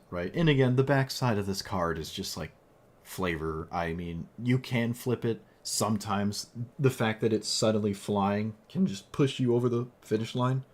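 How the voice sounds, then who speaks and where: A man who is losing his voice after a long stream, talking casually about a card game deck in a rambling way with lots of fillers and restarts. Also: lowish voice. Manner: rambling, with frequent fillers and self-corrections. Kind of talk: casual gameplay commentary.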